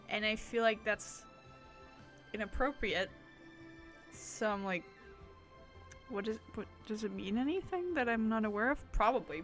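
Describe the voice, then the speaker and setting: A woman's voice: mid-range voice, fairly bright tone. A woman game streamer giving casual, playful live commentary with silly little asides. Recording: game music behind the voice. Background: video game music.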